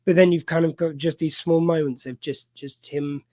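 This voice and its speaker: A man hosting a casual film discussion, talking in a rambling, halting way, full of fillers and restarts. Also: a little high, steady tone, a little mellow, dry recording. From United Kingdom. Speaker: podcast host. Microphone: video call mic.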